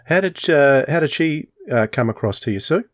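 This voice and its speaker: A man's voice: fairly high pitch, lively intonation, moderate pace, dry recording, clean studio sound. A man working as a podcast interviewer, putting questions in a casual conversational style.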